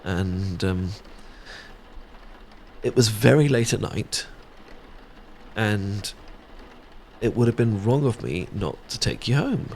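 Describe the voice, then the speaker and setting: A man's voice: lowish voice, takes it slowly, pauses often, a little mellow, slight background noise. A British man playing a shy, sweet best friend secretly in love, stammering and hesitant in a romantic ASMR audio roleplay. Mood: sheepish, reminiscing.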